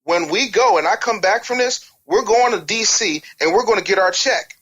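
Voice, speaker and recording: A man's voice: high voice, lively intonation, moderate pace, fairly bright tone, muffled recording. A man speaking bluntly and emphatically in an opinionated podcast discussion, repeating phrases to drive points home.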